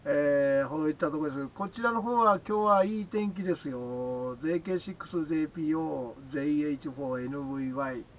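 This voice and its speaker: A man's voice: on the high side, a little fast, few pauses. A man working as an amateur radio operator, chatting politely in an unscripted radio contact. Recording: thin narrowband radio audio, faint noise.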